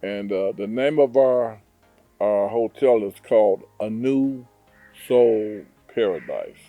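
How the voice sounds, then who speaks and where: A man from Detroit, a hotel owner, answering interview questions in an earnest, unhurried way with frequent fillers. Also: on the low side, animated, phone-quality sound, faint music behind. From Detroit, USA. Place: hotel in Zanzibar.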